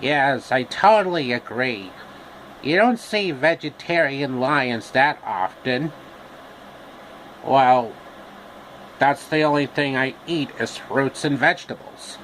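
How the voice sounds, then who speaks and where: A man voicing a cowardly lion from a storybook, blustering yet sheepish, with drawn-out, repetitive phrasing. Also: medium pitch, fairly bright tone, a little hiss or hum, rough recording.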